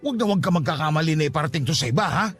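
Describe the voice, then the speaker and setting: A secretive office gossip, lively swooping voice, hushed and teasing, radio drama, music underneath. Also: male voice, fairly high pitch, racing, husky. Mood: sly. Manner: conspiratorial, teasing.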